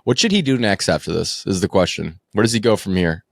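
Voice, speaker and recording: A man's voice: low-pitched, expressive, fairly quick, creaky, no room sound, studio quality. A man co-hosting a movie podcast, talking off the cuff about film and TV, casual and sometimes profane. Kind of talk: casual opinionated film and TV chat.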